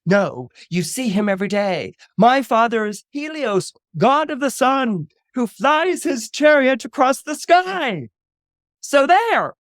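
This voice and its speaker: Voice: medium pitch, measured pace, clear, resonant tone, no room sound, studio quality, lightly acted. A storyteller voicing an indignant, self-important teenage boy with earnest, stammering protests in a comic myth retelling. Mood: proud, defiant. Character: indignant teenage boy, a gallant, whiny demigod son.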